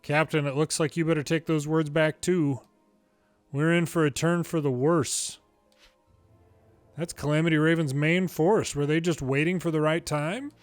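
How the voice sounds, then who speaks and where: A man's voice: a little high, steady tone, moderate pace, no room sound, faint music behind. A man reading video game dialogue aloud dramatically, acting out each character's lines in turn.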